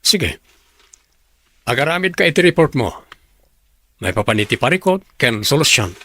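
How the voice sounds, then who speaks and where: A man's voice: mid-range voice, very animated, normal speed, sharp tone. A man with a Filipino accent playing a businesslike, authoritative company department head in a radio drama. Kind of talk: Ilocano radio drama acting.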